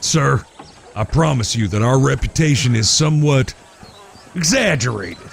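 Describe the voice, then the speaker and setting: An actor playing a gruff, protective eldest brother of Old West gunslingers, with a folksy American drawl and an authoritative way of talking. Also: male voice, mid-range voice, lively intonation, measured pace, a little hiss or hum. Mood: calm, reasoning. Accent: American, Southern or Western drawl. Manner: authoritative, folksy, plain-spoken. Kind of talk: Western audio drama acting.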